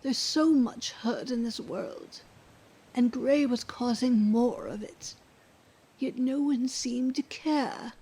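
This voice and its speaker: A woman playing a weary, embittered ex-wife living in seclusion, in a radio drama, speaking in a breathy hush with blunt resignation. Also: a little high, takes it slowly, poor audio. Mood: weary, bitter. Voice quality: breathy, hushed. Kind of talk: scripted radio drama dialogue.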